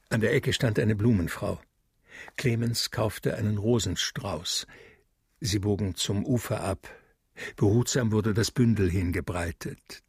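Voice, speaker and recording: Very husky, slow male narrator. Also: lowish voice, no echo, clean studio sound. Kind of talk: literary radio drama narration.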